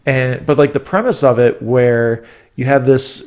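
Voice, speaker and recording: A man's voice: mid-range voice, steady tone, unhurried, darkish tone. A man hosting a casual podcast chat while fighting a cold, nasal and stuffed up, rambling and restarting his sentences. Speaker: podcast host.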